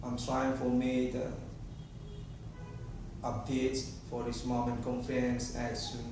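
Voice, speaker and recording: A man from Indonesia with an Indonesian accent, giving a rambling improvised monologue in halting, disjointed English phrasing. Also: mid-range voice, completely flat delivery, over music. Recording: very echoey room, distant mic, clear noise.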